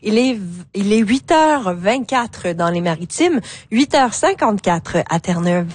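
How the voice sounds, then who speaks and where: A woman's voice: medium pitch, expressive, measured pace, a little mellow, breathy edge, no room sound. A woman presenting radio news, hesitant with many fillers. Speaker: radio host and reporter.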